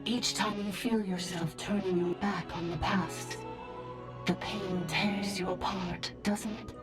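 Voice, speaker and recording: An ancient female ruler from a video game acted by a woman, solemn and full of regret. She speaks in a medium-pitched, deliberate, formal voice with music clearly playing behind her. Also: fairly even intonation, close to an everyday voice. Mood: sorrowful. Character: ancient female dragon sovereign in a service bot, solemn and regretful. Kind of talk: dramatic video game voice acting.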